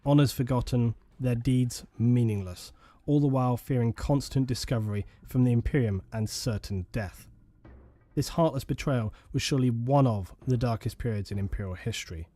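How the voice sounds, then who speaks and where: A man giving scripted documentary narration on lore in a measured, solemn manner. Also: medium pitch, mellow. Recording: faint music bed behind.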